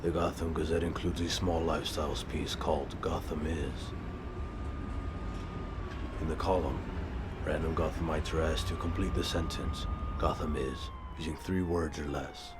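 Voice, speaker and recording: An actor playing a brooding, stoic vigilante hero in a comic-book audio drama, speaking in a grave, measured, deadpan way. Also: male voice, a bass voice, very noisy. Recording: music behind the voice.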